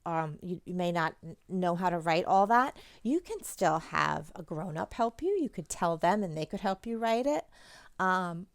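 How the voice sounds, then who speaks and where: A woman's voice: fairly low pitch, creaky, no room sound. A woman talking casually and encouragingly to children, giving chatty craft instructions as a presenter. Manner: encouraging, chatty, addressing young viewers directly. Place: indoors at home, at a table.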